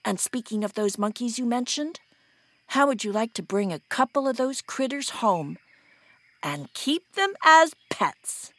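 A high-pitched, gushing storybook auntie, acted by a woman with a scheming streak. She draws her words out slowly and theatrically, as in a children's folktale. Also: animated, a bit bright, dry recording. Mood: sweetly persuasive.

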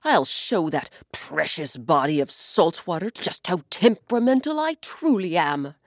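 A woman voicing the sky as a proud, touchy male figure in a children's story, speaking lower and slow when offended. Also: sing-song, no room sound, clean studio sound.

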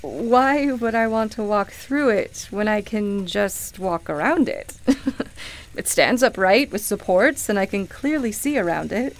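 An actress playing a grieving, skeptical woman in a radio play, emotional and halting, easily shaken as she speaks. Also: mid-range voice, animated, poor audio. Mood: puzzled, skeptical. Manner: halting, stammering, dramatic. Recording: music behind the voice.

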